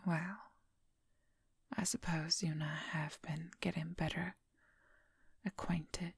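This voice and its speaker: A woman acts out a possessive young werewolf woman in an ASMR role-play. She whispers very slowly and intimately, with a husky, breathy voice that crackles with heavy fry. The sound is close and dry. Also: low voice, level tone, no room sound, full character voice. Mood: quiet, resentful.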